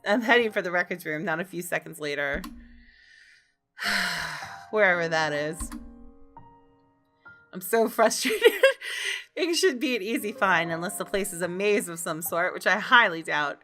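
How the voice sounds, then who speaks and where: A woman's voice: on the low side, lively intonation, measured pace, pauses often, sharp tone, faint music behind. A woman hosting a gaming video, reading visual novel text aloud and reacting expressively.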